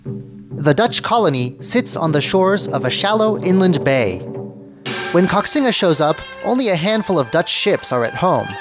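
A man narrates a radio documentary as its host, in a fairly high voice with very lively pitch movement. His delivery is scripted and presentational, with soft music underneath. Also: normal speed. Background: background music.

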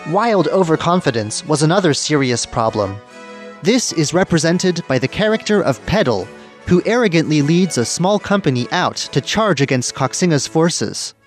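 A man's voice: a little high, animated, normal speed, faint music behind. A man hosting a history radio program, reading scripted narration and putting questions to the listener.

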